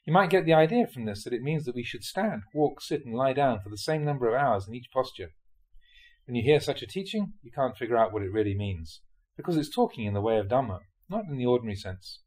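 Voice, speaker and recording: Measured man reading Buddhist teachings aloud. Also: mid-range voice, lively intonation, darkish tone, rough recording. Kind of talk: scripted audiobook-style reading of dharma talks.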